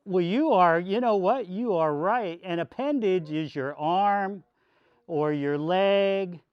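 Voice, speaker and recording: Male pastor for children's sermon: unhurried, smooth, folksy, simple wording; dry, muffled lo-fi recording. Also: sits high, dry recording. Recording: dull lo-fi sound.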